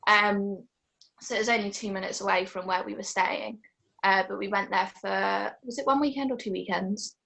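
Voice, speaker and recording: Creaky-voiced young English woman. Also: medium pitch, fairly even intonation, normal speed, pushed and bright, rough recording. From England. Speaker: gap-year team member. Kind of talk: casual group presentation.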